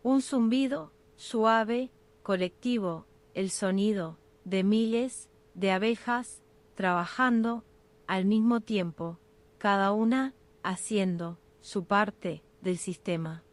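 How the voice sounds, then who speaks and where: Slow woman narrating sleep meditation. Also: medium pitch. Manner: slow, unhurried short phrases with pauses.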